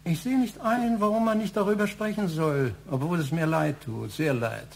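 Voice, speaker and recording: Higher-voiced stern old patriarch. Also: male voice, moderate pace, gravelly, slight background noise. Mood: stern regret. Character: stern, reproachful older male family patriarch. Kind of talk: German radio crime drama acting.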